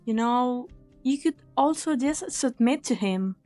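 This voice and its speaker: A woman's voice: a little high, lively intonation, a little slow, darkish tone, soft background music. A woman playing a cynical, sly young mercenary leader in an anime game fan dub, with a sardonic, taunting delivery. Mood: cool warning.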